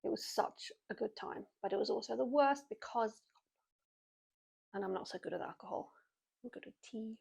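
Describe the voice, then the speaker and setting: Brief: British woman, 40s, rambling self-mocking vlog chat, fairly high voice. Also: moderate pace, gravelly, long pauses, a little mellow, breathy edge. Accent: British English. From Britain. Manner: chatty, self-mocking, wry asides. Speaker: vlogger. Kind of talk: casual chatty monologue to camera.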